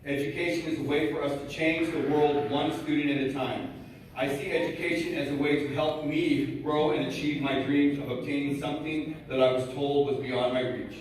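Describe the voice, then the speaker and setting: Nervous man, student speaker at a gala, hesitant and halting, mic distant in a very echoey hall with faint hum. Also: on the high side, steady tone. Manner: hesitant with many fillers, reading aloud. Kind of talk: nervous prepared speech. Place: large event hall.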